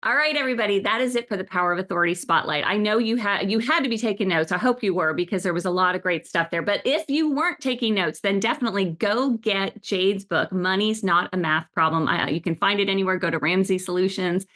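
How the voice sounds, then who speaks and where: A woman's voice: medium pitch, quick pace, few pauses, a bit bright. A woman hosting a podcast interview, gushing with praise and often restarting her sentences in enthusiastic chat. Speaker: podcast host.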